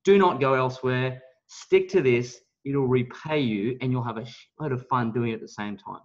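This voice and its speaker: A man giving a motivational business pitch, speaking in an emphatic, blunt, salesy way with some mild swearing. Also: moderate pace. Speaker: network marketing leader, guest speaker on a team call. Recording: dull, lo-fi call audio.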